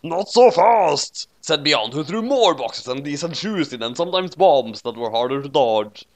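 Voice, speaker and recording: A man's voice: medium pitch, expressive, moderate pace. A man giving a theatrical, mock-dramatic scripted narration of a comic story. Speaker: narrator reading a comedic fan fiction.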